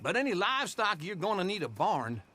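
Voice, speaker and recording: An actor playing a cantankerous, folksy old frontier codger with an American accent and a sharp, gravelly voice, scolding in a video game drama. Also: male voice, high-pitched, big pitch swings, a little fast, full character voice.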